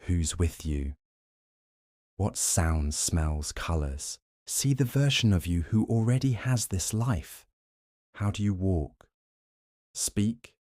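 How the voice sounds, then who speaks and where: Male sleep meditation guide: very deep, unhurried, soothing and gently instructive. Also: no room sound, clean studio sound. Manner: soothing, gently instructive, short measured phrases.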